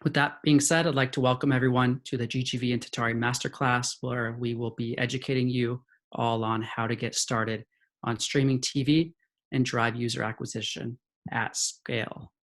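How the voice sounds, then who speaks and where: A man's voice: mid-range voice, little pitch movement, moderate pace, fairly bright tone. Upbeat man presenting. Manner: upbeat, polished. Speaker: head of sales, presenter. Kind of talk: webinar presentation. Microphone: video call.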